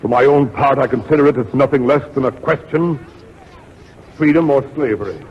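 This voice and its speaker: An actor playing a fiery, impatient colonial orator with a declamatory delivery in a 1940s radio drama. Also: male voice, medium pitch, moderate pace, natural voice. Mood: impassioned. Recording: dull, faintly noisy 1940s radio recording.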